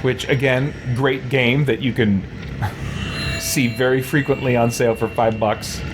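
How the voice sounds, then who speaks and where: A man's voice: medium pitch, fairly even intonation, a little slow, over loud music. A man hosting a casual let's play, thinking aloud and exclaiming as he goes. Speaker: gaming channel host.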